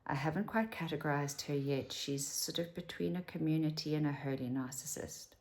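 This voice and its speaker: A South African woman in her fifties talks to camera at home in a low voice with a gravelly fry. She rambles candidly and drops to a whisper for asides. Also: a little fast, a little mellow. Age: 50s. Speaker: vlogger. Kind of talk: casual talk to camera.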